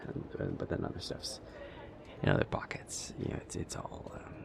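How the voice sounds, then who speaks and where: A man playing a nervous vampire boyfriend who is afraid of flying, speaking haltingly with stammers in an intimate audio roleplay. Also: slight background noise, lightly acted. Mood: flustered, anxious.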